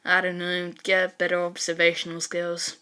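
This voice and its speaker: A woman's voice: on the low side, completely flat delivery, moderate pace, bright, forceful tone, smooth, voice pushed down. A woman voicing a dry, unbothered teenage boy in a story, terse and laid-back.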